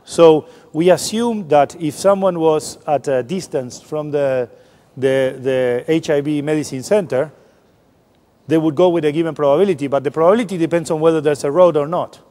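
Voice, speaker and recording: A man's voice: on the high side, normal speed, warm tone. A man giving a public science lecture, explaining informally and often restarting his sentences. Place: large lecture hall. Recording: long hall reverb.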